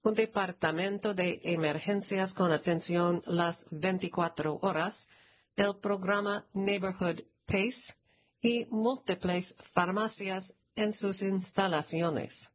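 A woman narrator reads a prepared announcement at a slow, deliberate pace. Her pitch sits in a middle range for a female voice, and her delivery is formal and measured throughout. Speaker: narrator reading a press release. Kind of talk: scripted read-aloud news announcement.

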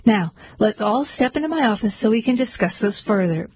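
A woman voicing a smooth-talking male fox lawyer in a storybook. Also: mid-range voice, expressive, brisk, a little mellow, no room sound. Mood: slick.

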